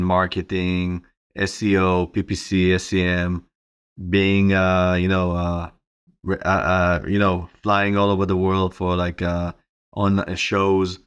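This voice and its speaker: Middle-aged man, Israeli accent, low-pitched voice, informal interview guest, conversational answers. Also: smooth. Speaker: interview guest, company co-founder.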